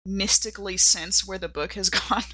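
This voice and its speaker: A woman's voice: mid-range voice, fairly even intonation, normal speed, pushed and bright. A woman chatting casually as a player in a tabletop game, describing her character's actions in a conversational way.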